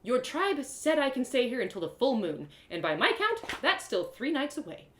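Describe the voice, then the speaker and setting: A woman's voice: high voice, very animated, moderate pace, a bit bright, slight background noise, voice pushed up. A woman voicing a stubborn, irritable young female adventurer in a storybook, blunt and sarcastic. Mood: indignant. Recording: roomy echo.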